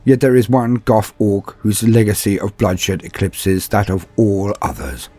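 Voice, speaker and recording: A man giving theatrical, measured scripted narration in the style of a fantasy storyteller. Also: on the low side, mellow, slightly rough, no echo. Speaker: narrator of a fantasy lore guide.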